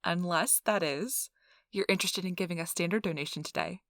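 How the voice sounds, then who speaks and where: Close and dry, a woman acts out a chatty receptionist-interviewer at a vampire donation clinic. Her voice is lowish with a crackly fry, and she talks in an officious, reassuring ASMR role-play manner. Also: brisk, fairly bright tone, dry recording. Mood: polite, businesslike. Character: chipper, gossipy female interviewer at vampire blood donation center.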